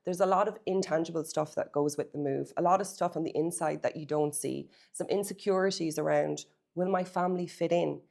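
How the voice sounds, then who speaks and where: Irish woman in her middle years, earnest, low voice with a bit of fry, talks through her story in lists. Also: fairly even intonation, a little fast, hardly any pauses, darkish tone. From Ireland. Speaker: real estate agency co-owner. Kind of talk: personal story to camera.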